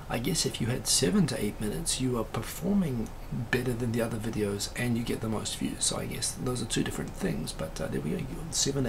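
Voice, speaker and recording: Man, raspy and heavily gravelly, loose rambling live-stream host, steady hiss behind. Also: mid-range voice. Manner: rambling, many fillers and asides. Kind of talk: casual live-stream chat reading comments.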